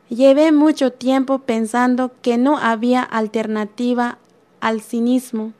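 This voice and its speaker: A woman reading a listener's letter aloud on the radio, slow and earnest. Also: fairly high pitch.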